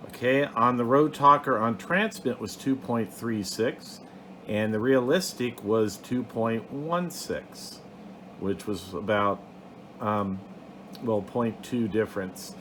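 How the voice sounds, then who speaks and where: A man talking slowly through a casual, hands-on demonstration. He is plainspoken and methodical, with a halting delivery and a middling pitch, like a hobbyist hosting his own video. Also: a bit bright, a little hiss or hum. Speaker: host of a hobby radio video.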